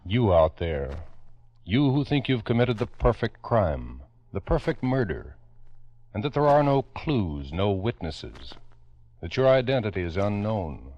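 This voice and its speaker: An American man narrating a 1950s radio crime program in a grave, deliberate, dramatic manner. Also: on the low side, sing-song. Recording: dull vintage radio recording with music.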